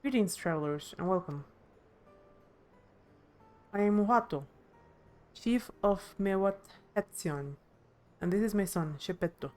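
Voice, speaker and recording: A woman voicing a welcoming, nature-revering village chief in a video game, with formal, measured delivery. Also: mid-range voice, darkish tone, faint music behind, natural voice. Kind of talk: game character voice-over.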